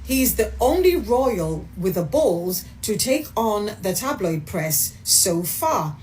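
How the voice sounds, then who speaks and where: Slow, expressive woman giving opinions. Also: mid-range voice, a little hiss or hum, poor audio. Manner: emphatic, rhetorical questions, deliberate delivery. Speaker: commentator in a played clip. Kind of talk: opinion monologue.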